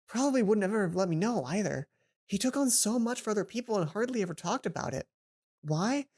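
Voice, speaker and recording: A woman hosting a let's play, reading a visual novel aloud and adding chatty, informal, animated asides. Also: medium pitch, fairly quick. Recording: music behind the voice. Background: game music.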